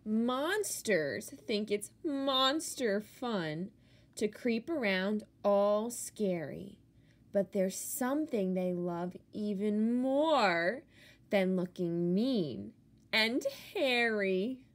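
A woman who is a teacher, reading a picture book aloud to children with expressive, theatrical emphasis on key words. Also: mid-range voice, very slow.

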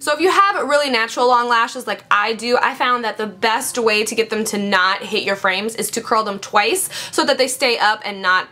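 A young woman with a bright, clear voice and a slight rasp, chatting playfully as she does her makeup at a home vanity. She talks to the camera mic, with faint music behind her. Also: fairly high pitch, moderate pace, few pauses. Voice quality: raspy. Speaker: beauty vlogger. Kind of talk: casual makeup tutorial chat. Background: faint background music.

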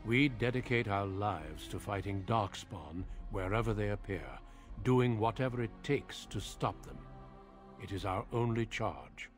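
A man's voice: measured pace, faint music behind, lightly acted. A man playing a grave, courteous middle-aged warrior commander in a fantasy video game, with formal, composed delivery. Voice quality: low, husky.

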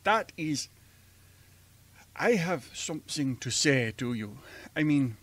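An actor playing a gentle, earnest older professor with a European accent and halting, formal speech in a radio drama. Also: male voice, on the high side, lively intonation, exaggerated voice. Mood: shy, halting. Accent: foreign European. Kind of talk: old-time radio drama acting.

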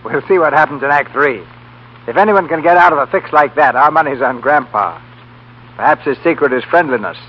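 A man's voice: fairly high pitch, brisk, husky. A man hosting and narrating a 1930s radio drama from a script. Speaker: host and narrator. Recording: muffled 1930s radio broadcast. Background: music.

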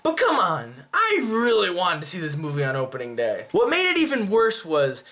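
A young man talks casually to camera in a small room at home. His delivery is animated, with pitch that swings up and down. He rambles and often breaks off to correct himself, like a chatty video reviewer. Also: normal speed, faint music behind. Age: young adult. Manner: animated, rambling, self-interrupting. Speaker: movie reviewer.

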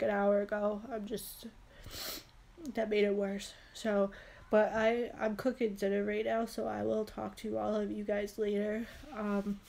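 Stuffy-nosed woman with a gravelly, flat voice. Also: medium pitch. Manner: chatty, rambling, with many fillers. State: stuffy nose and sore throat from a head cold. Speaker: beauty vlogger. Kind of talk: casual product haul chat. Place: small home room. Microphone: phone camera held close.

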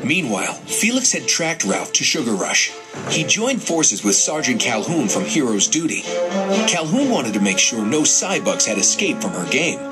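A man's voice: mid-range voice, sing-song, normal speed. A man reading scripted narration for a children's read-along storybook. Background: music.

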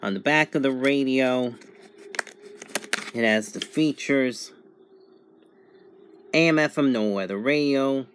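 A man giving a casual unboxing and review narration in a halting, methodical way, in short phrases. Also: medium pitch, fairly bright tone, a little hiss or hum. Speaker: product reviewer.

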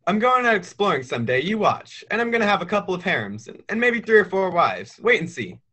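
Twelve-year-old boy, teenage male actor: very high, bright, forceful voice, cocky yet polite, radio play delivery. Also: sing-song, fast, husky, exaggerated voice. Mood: cheerful, boastful.

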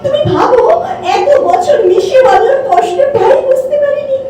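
A woman's voice: extremely high pitch, expressive, moderate pace. A woman acting a sulky, affectionate and anguished lover in an emotional amateur audio play, with a plaintive, scolding delivery. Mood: bitter self-reproach. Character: Bhabna, sulky affectionate woman anguished over her beloved. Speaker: amateur stage audio-drama actor. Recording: echoey hall, distant mic, music.

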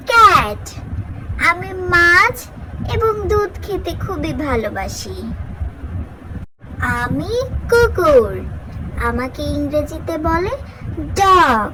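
A narrator of a children's educational cartoon, speaking in a deliberate, sing-song teaching manner. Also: high-pitched, background noise. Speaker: narrator introducing animals for children.

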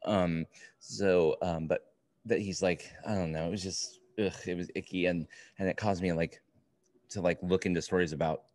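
A man in his forties with a deep, low voice in a dry-sounding room. He talks like a podcast co-host in casual conversation, circling his thoughts, stopping and starting, and cursing freely. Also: fairly even intonation, darkish tone, no room sound. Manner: rambling, halting, profane. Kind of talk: casual book review chat. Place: indoor room, remote video call.

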